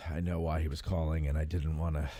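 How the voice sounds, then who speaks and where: A man's voice: extremely low pitch, level tone, fast, warm tone, no echo. An American man hosting a casual chat show, informal and rambling as he thinks aloud. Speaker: podcast host.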